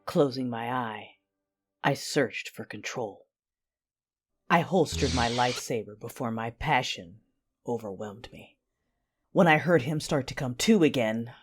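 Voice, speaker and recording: A woman playing a betrayed, commanding dark-side warrior in an audio drama, narrating in the first person with intense, deliberate menace. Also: extremely low pitch, slightly rough, soft background music, heavily acted. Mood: shaken, controlled.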